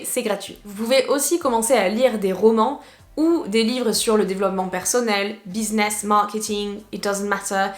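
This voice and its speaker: A woman from southwest France with a southwestern French accent, giving a casual video lesson as an English teacher, chatty and lively, slipping English phrases in. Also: medium pitch, roomy sound.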